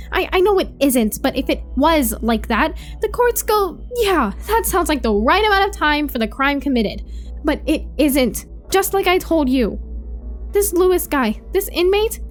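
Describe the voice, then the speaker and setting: An earnest young female prison trainee in a sci-fi audio drama. Her fairly high voice is measured and firm, probing for answers, with faint music behind. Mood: frustrated, earnest. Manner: measured, formal when questioning.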